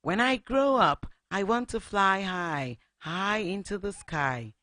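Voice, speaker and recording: A radio storyteller voicing Daisy, a young female chicken who longs to fly, in a higher, earnest, wishful voice. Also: lively intonation, takes it slowly, pushed and bright, dry recording, studio quality. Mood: hopeful.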